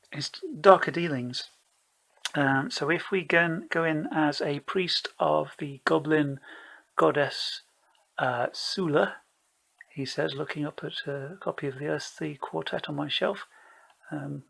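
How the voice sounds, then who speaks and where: A man narrates a solo tabletop game at an unhurried pace, improvising as he goes. His voice sits a little high, with a bright edge. He often hesitates and mulls things over aloud, feeling out each option before settling on it. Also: gravelly, rough recording.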